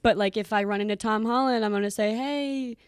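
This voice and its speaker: A young woman co-hosting a podcast, chatting casually with quick asides and frequent laughs. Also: on the high side, clean tone, no room sound, studio quality. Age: young adult. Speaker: podcast co-host. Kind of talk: casual chat.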